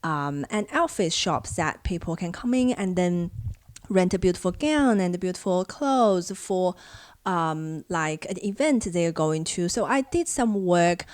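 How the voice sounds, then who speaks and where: A young woman vlogger from China, speaking English as her second language, talks through her own experiences in a relaxed storytelling way. Her voice sits a little low, and the sound is close and dry with no room around it. She perks up and turns lively whenever she replays old conversations. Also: unhurried, no room sound. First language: Chinese. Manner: animated when acting out past conversations. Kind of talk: casual storytelling to camera.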